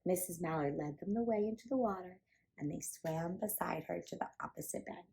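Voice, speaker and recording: A woman hosting a children's storytime, reading a picture book aloud with animated, playful emphasis. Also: on the low side, a little fast, gravelly. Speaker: children's storytime reader and host.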